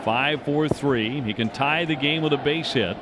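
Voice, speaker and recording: A man's voice: mid-range voice, a little slow. A man doing live play-by-play commentary of a baseball game as a radio announcer. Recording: noisy live ballpark radio broadcast. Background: stadium ambience and noise.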